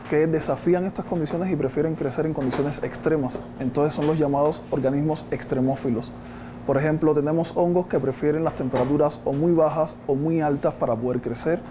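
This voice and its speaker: A man's voice: a little high, background noise. A man in his thirties with a Cuban accent, a research scientist explaining things in a documentary interview, recorded on a lapel mic. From Cuba. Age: 30s. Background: background music.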